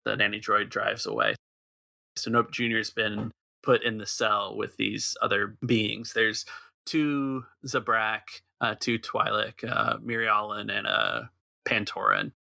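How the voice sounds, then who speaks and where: Guy running a tabletop rpg, crisp forward voice w some fry, really dry close sound. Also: on the low side, slow, bright, forceful tone, no room sound. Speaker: game master. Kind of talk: narrating a tabletop role-playing game.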